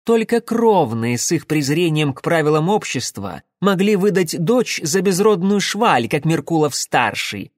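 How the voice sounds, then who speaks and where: A male narrator puts on a young merchant's son for an audiobook. He is pitched well above the reader's natural voice, anxious and servile, yet puffed up with boastful self-importance. The sound is close and dry. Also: sing-song, normal speed, no room sound. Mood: scornful, condescending. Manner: fawning, pompous, self-important. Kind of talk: audiobook character voice.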